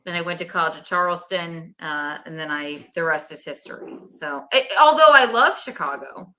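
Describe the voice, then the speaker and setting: A woman's voice: deep voice, expressive, normal speed, pushed and bright. A young woman from South Carolina, a stand-up comedian chatting as a guest and joking at her own expense. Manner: jokey, self-deprecating, rambling. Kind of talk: casual interview chat.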